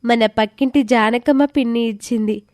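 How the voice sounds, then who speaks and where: A woman's voice: on the high side, steady tone, moderate pace, a little mellow, dry recording, studio quality, close to an everyday voice. A woman voicing a polite, earnest, reserved village girl in a scripted moral story.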